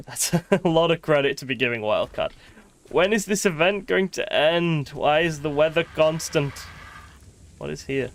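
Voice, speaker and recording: A man's voice: fairly high pitch, a little slow. A man giving casual live gameplay commentary as a streamer, chatty and thinking aloud, with wry, sweary grumbling. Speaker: game streamer.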